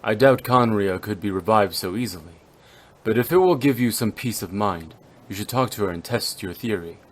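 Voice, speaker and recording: A man playing a stern, brooding immortal swordsman in an anime-style game, speaking formally and gravely. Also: on the low side, moderate pace, close to an everyday voice. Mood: grave, restrained.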